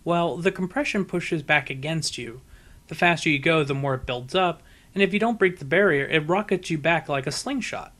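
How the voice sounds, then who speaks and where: A man's voice: a little high, moderate pace, fairly bright tone, natural voice. A man voicing a brash, boastful young female pegasus pony in a storybook reading, cocky and emphatic. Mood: matter-of-fact, confident. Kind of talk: fan fiction audiobook character voice.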